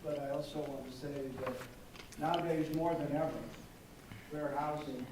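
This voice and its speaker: A man in his sixties chairing a city council meeting, speaking in halting formal remarks with pauses and uhs. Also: a little high, fairly even intonation. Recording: distant, echoey chamber with loud background noise.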